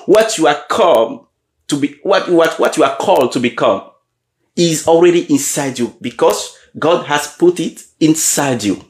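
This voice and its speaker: A man giving a motivational leadership talk, often pausing to check in with his audience and repeating key questions. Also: fairly high pitch, expressive. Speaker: leadership coach. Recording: roomy echo.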